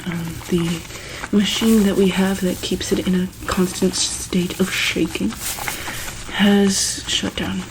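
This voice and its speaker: A woman doing a soft-spoken, often whispered ASMR roleplay as a calm, caring medic, with a gentle, reassuring bedside manner. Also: on the low side, slow, background noise. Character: calm, caring female medic at a monster hospital. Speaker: ASMR roleplayer playing a monster hospital medic.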